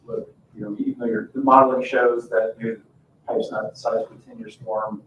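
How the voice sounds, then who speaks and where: A man giving an informal presentation as a consultant at a public board meeting, with halting, hesitant phrasing. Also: on the low side. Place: indoor town board meeting room. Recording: echoey room, distant mic, faint noise.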